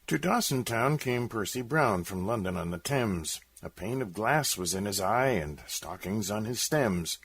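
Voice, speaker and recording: A man with a theatrical, expressive delivery, introducing and reciting comic narrative verse as a radio host. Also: fairly low pitch, unhurried, few pauses, husky.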